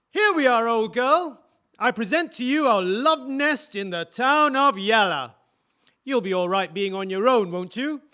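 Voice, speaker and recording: A man's voice: extremely high pitch, expressive, takes it slowly, long pauses, sharp tone, heavily acted. A man playing an affectionate, playfully teasing young colonial husband in an audio drama. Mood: playful, tender.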